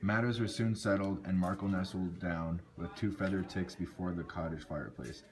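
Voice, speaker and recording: A man's voice: low voice, normal speed. A man narrating a scripted folk tale, reading aloud and occasionally stumbling over words.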